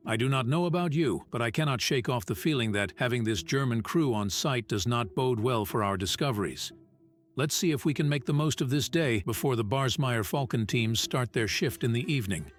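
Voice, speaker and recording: An actor playing a wary, formal professor who leads an expedition, speaking in a measured way in an audio drama. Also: male voice, mid-range voice, animated, faint music behind, natural voice.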